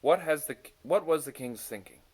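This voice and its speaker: A man's voice: fairly high pitch, animated, moderate pace, darkish tone, husky, voice pushed up. A man voicing a proud but self-doubting messenger in a storybook, fretful and questioning himself.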